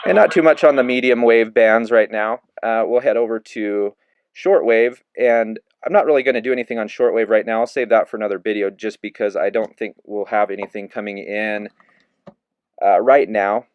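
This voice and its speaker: A man giving a relaxed, casual hands-on review of a handheld radio, narrating each step with plenty of fillers as he works the controls. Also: on the low side, moderate pace, clean tone, no echo, lo-fi sound. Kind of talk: casual hands-on product demo.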